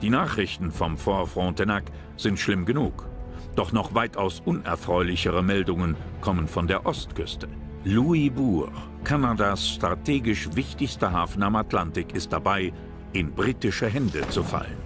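A man narrating a historical documentary from a script in a measured, serious manner. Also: sits low, animated. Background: background music.

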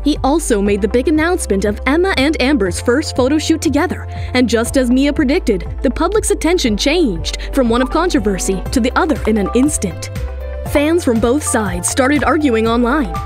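Female narrator, high voice, emphatic dramatic storytelling, music underneath. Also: expressive, normal speed, a little mellow. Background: dramatic background music.

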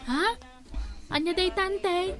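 A woman's voice: extremely high pitch, fairly even intonation, slow, music in the background. A woman playing an obliging, generous young niece with an Ilocano accent in a radio comedy drama, agreeable in manner.

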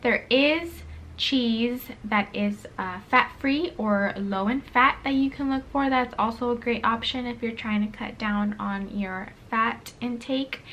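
A woman's voice: fairly high pitch, fairly bright tone. Young woman explaining to camera, some background noise. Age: young adult. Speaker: cooking demo host, health educator. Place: indoors at home, kitchen or plain room. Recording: clear background room noise.

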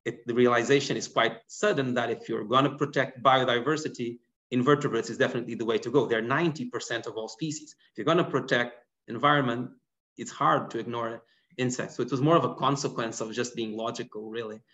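A man's voice: mid-range voice, fast. A man in his thirties, originally from Portugal, answering audience questions as a guest biologist in an online lecture, talking animatedly. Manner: animated, gesturing while explaining. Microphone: laptop webcam mic on video call. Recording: dull lo-fi video call audio.

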